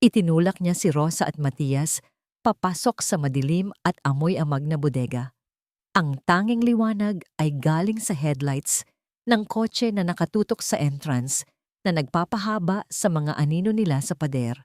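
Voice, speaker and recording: A woman's voice: deep voice, animated, moderate pace, darkish tone, dry recording. A woman reading scripted dramatic fiction as an audiobook narrator.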